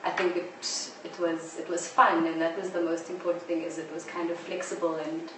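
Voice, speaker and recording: A woman's voice: lowish voice, little pitch movement, moderate pace. A woman explaining her work as a drama producer in an interview. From South Africa. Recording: echoey, distant live stream, faint noise.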